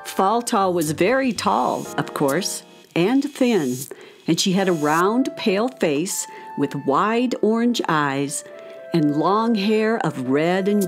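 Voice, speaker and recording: Animated woman narrating a children's story. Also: fairly low pitch.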